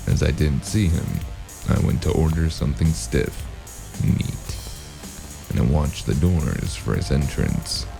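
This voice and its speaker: A world-weary noir hero with an extremely deep, gravelly voice. He speaks slowly, wry and brooding, in terse phrases, with prominent music behind him in an audio drama. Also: lively intonation, full character voice. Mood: brooding narration. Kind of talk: noir first-person narration and dialogue.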